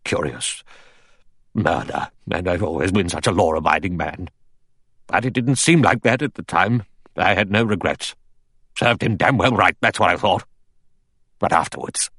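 A man acting a husky, haunted old general. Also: low voice, expressive, a bit bright, dry recording, clean studio sound, exaggerated voice. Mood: haunted confession. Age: older. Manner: halting, distracted, musing aloud. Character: guilt-haunted old general, vague, lonely, resigned to death.

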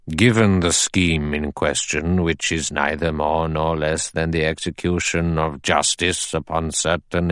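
A man voicing an elderly male judge who speaks in a measured, precise, quietly authoritative way. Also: extremely low pitch, dry recording, clean studio sound, full character voice. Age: older.

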